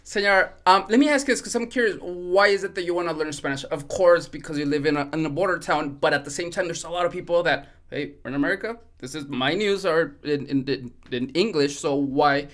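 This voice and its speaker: A man's voice: a little high, brisk, hardly any pauses, fairly bright tone. A man born in Mexico, hosting a podcast and asking his guest friendly, curious questions. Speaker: podcast host. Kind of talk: casual interview conversation.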